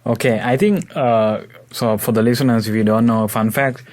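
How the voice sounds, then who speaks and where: A man's voice: mid-range voice, fairly even intonation, normal speed, darkish tone. A young man hosting a casual interview, chatting informally and adding explanatory asides for listeners. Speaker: podcast host.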